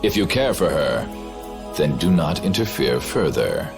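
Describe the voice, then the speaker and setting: An extremely low-voiced, gravelly game villain, cold and contemptuous. He commands in slow, haughty phrases, with music playing clearly behind him. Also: sing-song, exaggerated voice. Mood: coldly menacing. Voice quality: gravelly, very deep. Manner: haughty, measured. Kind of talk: dramatic video game voice acting.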